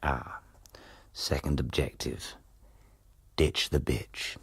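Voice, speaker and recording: A very deep, gravelly scheming lecturer. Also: male voice, steady tone, slow pace, no room sound, studio quality, full character voice. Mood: smugly triumphant. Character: scheming, smug radical male drama lecturer. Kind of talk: radio play acting.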